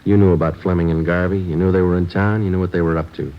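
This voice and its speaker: A man's voice: low voice, steady tone, fast talker, close to an everyday voice. A man acting as a terse, no-nonsense police sergeant in an old radio crime drama, speaking in a clipped, matter-of-fact way. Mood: stern, pressing. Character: no-nonsense male police sergeant, terse, hard-boiled, narrating his cases. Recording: muffled vintage radio recording with music.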